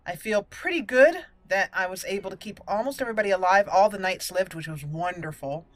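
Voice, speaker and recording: A woman's voice: mid-range voice, a little fast. A woman hosting a gaming channel, giving casual, playful commentary on a roleplay game in a chatty way.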